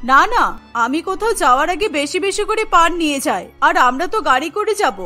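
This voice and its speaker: An affectionate middle-aged mother-in-law from a family cartoon, acted by a woman. Her voice sits high and has a bright, forward edge. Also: expressive, fairly quick, soft background music, full character voice. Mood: eager, insistent. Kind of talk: Bengali cartoon voice acting.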